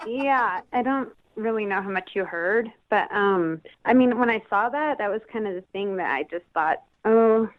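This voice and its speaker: A woman's voice: medium pitch, expressive, moderate pace, hardly any pauses, narrow, tinny audio. A woman chatting casually as a radio show guest, hedging as she goes with a giggly, hesitant manner. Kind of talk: casual phone chat.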